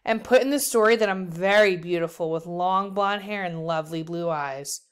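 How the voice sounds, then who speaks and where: A woman's voice: lowish voice, moderate pace, clean tone, natural voice. A woman voicing a boastful, nagging little girl in a storybook, insistent and teasing. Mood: bossy, proud.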